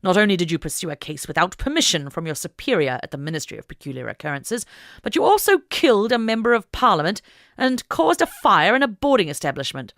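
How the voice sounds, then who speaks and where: A woman's voice: mid-range voice, sing-song, quick pace, a bit bright, no echo, clean studio sound. A woman voicing a stern, pompous prime minister in an audiobook story, scolding and threatening.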